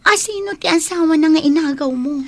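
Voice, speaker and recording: A woman's voice: high-pitched, brisk. An actress playing a concerned, probing woman friend in a radio drama, easily shocked, with a Filipino accent.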